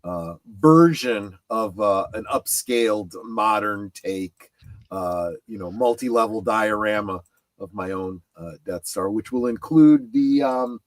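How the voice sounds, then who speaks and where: A middle-aged man in casual panel chat, speaking in a halting but emphatic way. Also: lowish voice, dry recording. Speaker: show panelist. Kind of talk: casual chat about collectibles.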